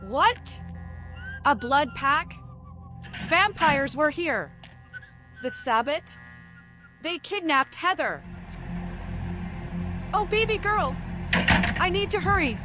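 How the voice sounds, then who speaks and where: A frantic young vampire woman, pitched extremely high. She exclaims slowly and dramatically, fiercely protective and vengeful, over clear game music. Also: sing-song, bright, forceful tone, heavily acted. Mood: alarmed. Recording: music behind the voice.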